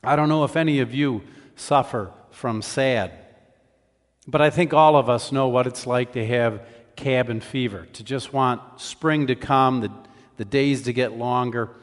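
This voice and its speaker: A man giving a conversational sermon as a preacher, in a measured, explanatory way. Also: medium pitch, steady tone. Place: large church hall. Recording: reverberant hall sound.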